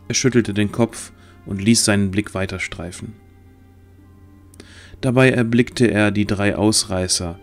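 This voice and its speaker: A man narrating a scripted fantasy audio drama as its storyteller. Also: deep voice.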